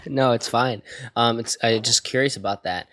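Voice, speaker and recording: A man's voice: mid-range voice, moderate pace, a bit bright, no echo. A man interviewing a guest in casual chat, feeling his way through questions with digressions and tentative phrasing. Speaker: podcast host, interviewer.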